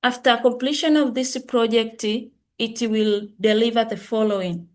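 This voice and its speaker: A woman presenting a project over a video call, halting and correcting herself as she goes. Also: on the high side, fairly even intonation.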